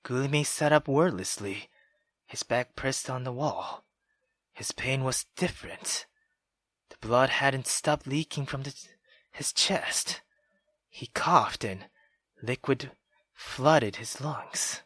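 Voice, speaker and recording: Female let's player reading a visual novel: unhurried, dry close sound, expressive and excitable. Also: very deep voice, no room sound. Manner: expressive, excitable, stumbles over the text. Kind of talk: reading a visual novel aloud with live reactions.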